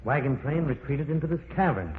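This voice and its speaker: An actor playing a calm, learned male professor exploring caverns, measured and reassuring, in an old radio drama. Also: mid-range voice, natural voice. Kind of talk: 1940s radio acting. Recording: tinny old radio broadcast, noisy, echoey.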